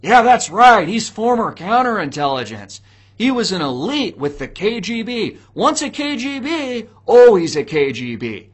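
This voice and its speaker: A man's voice: high voice, very animated, measured pace, slightly rough. A man giving opinionated solo political commentary to viewers, speaking in an emphatic, preachy way with repeated rhetorical questions.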